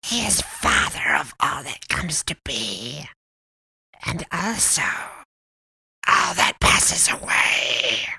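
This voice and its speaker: A narrator voicing a sneering female water spirit in a fantasy audiobook, with drawn-out, hissing, breathy delivery. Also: medium pitch, animated, sharp tone, no room sound, exaggerated voice.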